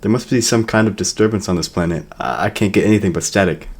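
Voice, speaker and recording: A gruff mercenary radio operator in a sci-fi radio drama. His voice is deep and low. He talks in a sardonic, matter-of-fact way. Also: fast talker. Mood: troubled. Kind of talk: sci-fi audio drama acting.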